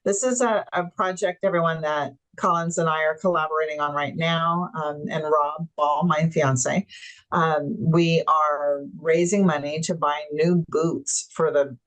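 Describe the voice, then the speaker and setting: A woman's voice: low-pitched, steady tone, a little slow, poor audio. A woman hosting a webinar interview, chatting enthusiastically and explaining things for viewers. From Tanzania. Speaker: webinar host. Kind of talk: casual interview chat.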